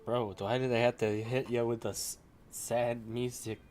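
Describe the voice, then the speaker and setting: A woman's voice: rumbling low, little pitch movement, moderate pace, darkish tone, a little hiss or hum. A woman giving casual live reactions as she streams a game, in short informal remarks.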